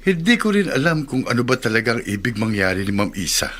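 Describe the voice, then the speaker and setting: A man's voice: mid-range voice, fast, music in the background, lightly acted. An actor playing a teasing, worldly older male confidant with a coaxing manner in a Tagalog radio drama. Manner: coaxing, animated.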